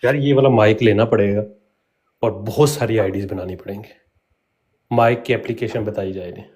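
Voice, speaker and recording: A man's voice: lowish voice, steady tone, normal speed, darkish tone, a little hiss or hum. A man chatting casually in a live group conversation.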